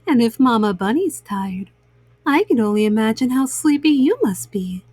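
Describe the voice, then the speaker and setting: A woman telling a bedtime story to young children in a gentle, motherly, expressive manner. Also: fairly high pitch, normal speed, breathy edge, dry recording.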